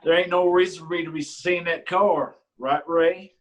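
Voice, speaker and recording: A man's voice: fairly high pitch, steady tone, natural voice. A man reading a menacing rural lawman. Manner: slow, needling, threatening questions. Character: menacing man who is the local law. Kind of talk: staged play reading.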